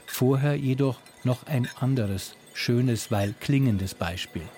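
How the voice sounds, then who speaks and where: A man reporting as a culture journalist, reading a scripted radio feature in a measured, descriptive way. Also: mid-range voice, dark tone.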